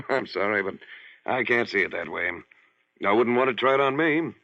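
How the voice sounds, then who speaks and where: An actor playing a cautious, morally troubled surgeon in a 1940s radio horror drama, earnest and hesitant. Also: male voice, medium pitch, animated, moderate pace, lightly acted. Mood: uneasy. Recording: dull, lo-fi 1940s radio recording.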